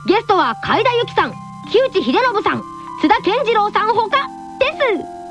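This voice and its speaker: A woman's voice: extremely high pitch, sing-song, moderate pace, fairly bright tone, lo-fi sound, faint music behind, heavily acted. A woman voicing an earnest, cheerful little schoolboy who presents scripted radio announcements in anime style. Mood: chirpy, earnest.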